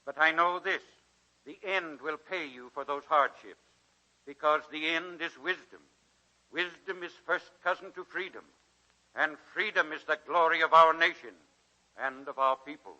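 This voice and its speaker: An actor playing a dignified, kindly college president with a measured, folksy oratorical manner in a 1941 radio drama. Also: male voice, a little high, sharp tone, muffled recording. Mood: solemn, rousing. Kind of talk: 1940s radio drama acting. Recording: 1941 radio broadcast recording.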